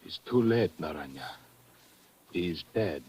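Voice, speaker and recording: A man's voice: sits low, animated, takes it slowly, heavily acted. An actor playing a weary, wary Portuguese village fisherman in a 1940s radio drama. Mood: startled, hushed.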